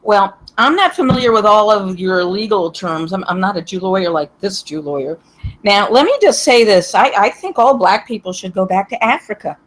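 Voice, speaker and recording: Woman as a smug, animated woman defendant. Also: mid-range voice, normal speed, lightly acted, low-quality recording. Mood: self-righteous. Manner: self-righteous, insistent. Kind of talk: comedy script table read.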